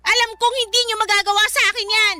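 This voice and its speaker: A woman's voice: very high voice, level tone, fast talker, pushed and bright, slight background noise, heavily acted. A woman playing an exasperated, pleading grown child confronting a parent in an emotional Tagalog radio drama. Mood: firm, upset. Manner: emotional, respectful yet confrontational. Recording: music underneath.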